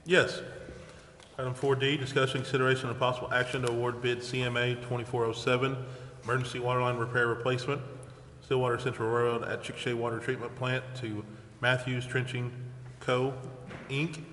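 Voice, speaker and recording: A man with a medium-pitched voice chairs a council meeting in formal, procedural language. His words ring in a big echoey hall over faint noise. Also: flat delivery, fairly quick, fairly bright tone. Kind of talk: formal procedural reading of agenda items. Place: large council chamber.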